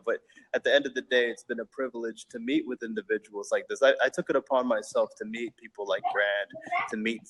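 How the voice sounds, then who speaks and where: A fairly low-voiced man talks over a video call as a guest on a live online show. He speaks in an energetic, emphatic motivational style, stumbling and restarting now and then as he builds his point. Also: low-quality recording. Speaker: guest, inspirational hip hop artist.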